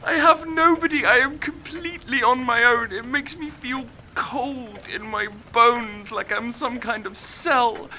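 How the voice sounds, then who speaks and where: A man's voice: very high voice, measured pace, few pauses, pushed and bright, slight background noise, exaggerated voice. A young man playing an anguished, lovesick young writer in a naturalistic audio drama, pleading and emotional as he repeats himself. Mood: desperate pleading. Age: young adult.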